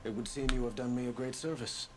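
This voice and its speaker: An actor playing a calm, commanding male king in a game, speaking in a measured, formal way. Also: medium pitch, close to an everyday voice. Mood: grave. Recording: game audio under a stream, with background noise.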